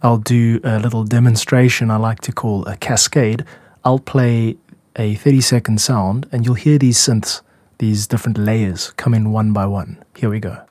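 A man's voice: on the low side, fairly even intonation, normal speed, darkish tone, no room sound. A man hosting a music podcast, explaining production work in a conversational, reflective and demonstrative way. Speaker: music podcast host and composer.

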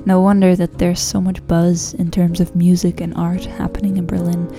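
A woman's voice: on the low side, little pitch movement, normal speed, music in the background. A woman whose first language is Dutch, reading a travel memoir aloud in a reflective, wry way. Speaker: narrator. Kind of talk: scripted travel memoir narration.

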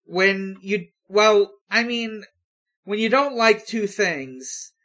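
A podcast co-host casually relaying news in a hesitant, halting way. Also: medium pitch, expressive, a bit bright.

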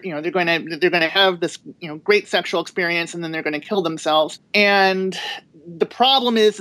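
A woman's voice: fairly low pitch, a bit bright, dry recording. Thoughtful woman author with a dry sound. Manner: thoughtful, many filler pauses. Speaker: guest author. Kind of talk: casual podcast panel talk.

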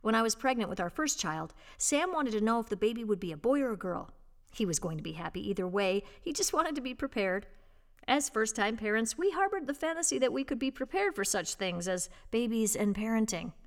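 A woman reading a written personal piece aloud as scripted narration, with a measured, expressive storytelling delivery. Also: a little high. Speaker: volunteer reader and host of an audio reading service.

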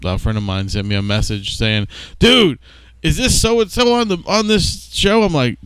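A man's voice: fairly high pitch, big pitch swings, moderate pace, fairly bright tone, smooth, no room sound. A man co-hosting a podcast in casual chat, laid-back and sweary, often saying dude and man. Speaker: podcast co-host.

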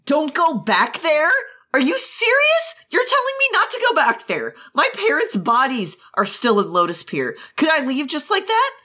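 A woman's voice: mid-range voice, big pitch swings, measured pace, hardly any pauses, pushed and bright, heavily acted. A woman voicing a proud, bitter teenage boy maddened by grief, hurling angry accusing questions as she reads a novel's dialogue. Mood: defiant anger. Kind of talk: dramatic reading of a novel's dialogue.

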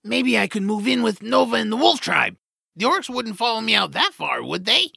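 A man's voice: high voice, very animated, normal speed, gravelly, pushed and bright, slightly rough, dry recording, studio quality, full character voice. An actor playing a reluctant, complaining young male hero in a fantasy audio drama. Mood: exasperated, anxious. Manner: complaining, exasperated.

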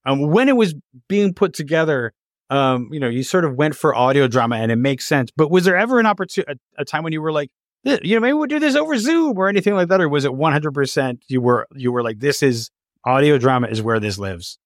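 A man hosting a casual interview, talking in an animated, rambling way and often stammering or repeating words. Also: a little high, fast, no room sound, clean studio sound. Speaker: interviewer, podcast host.

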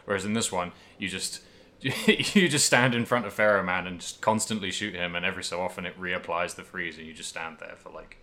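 A man's voice: low-pitched, fairly even intonation, fairly quick, sharp tone. A man co-hosting a podcast, talking casually about video games in a rambling way, as if thinking aloud. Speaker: podcast co-host. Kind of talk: casual video game discussion.

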